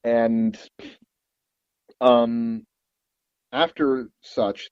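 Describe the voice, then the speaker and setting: A man with a low, mellow and husky voice talks as an interview guest. He tells stories casually, with hesitant pauses along the way. The recording is dull and muffled. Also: no echo. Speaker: interview guest, audio drama producer.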